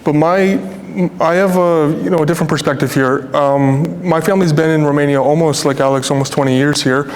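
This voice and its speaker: Young North American male developer, colloquial and earnest panel remarks, raised pitch, long hall reverb. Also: fairly even intonation, fairly quick, few pauses. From Toronto, Canada. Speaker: real estate developer on a panel. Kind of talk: informal panel discussion remarks. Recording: echoey hall, noisy with low rumble.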